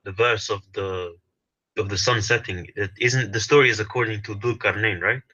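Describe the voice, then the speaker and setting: A man's voice: lowish voice, fairly even intonation, normal speed, pushed and bright, dry recording, poor audio. A man calling in, hesitant and stumbling over his words as he pushes back. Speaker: caller.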